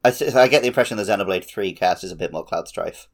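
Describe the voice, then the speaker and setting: A man chatting casually as a podcast host, telling stories in an animated, laughter-prone way. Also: low-pitched, fast. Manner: animated storytelling with frequent laughter. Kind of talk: casual chat.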